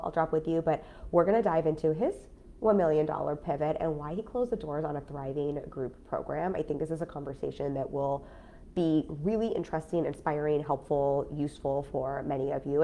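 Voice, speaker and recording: A business coach with a cold, talking casually on a live stream in a nasal, stuffy voice with lots of fillers. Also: female voice, low voice, vocal fry, dark tone. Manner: chatty, frank, many fillers. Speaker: business coach and podcast host.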